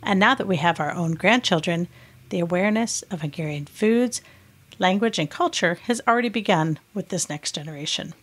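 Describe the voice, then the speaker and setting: A middle-aged woman with an American accent hosts a podcast in a fairly low voice. She reads from a script and explains things clearly and patiently. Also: a little fast. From United States. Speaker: podcast host.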